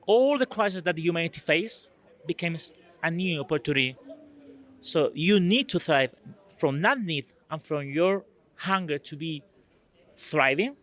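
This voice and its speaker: Earnest man, fairly high voice. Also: lively intonation, a little slow, a bit bright. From Spain. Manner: earnest, searching for words, non-native phrasing. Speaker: EU delegate guest. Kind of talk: conversational interview answers.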